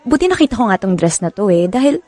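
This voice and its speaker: Lively, breezy young Filipino woman. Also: mid-range voice, fast, no echo. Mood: breezy, teasing. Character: breezy, teasing young female best friend. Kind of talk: radio drama acting.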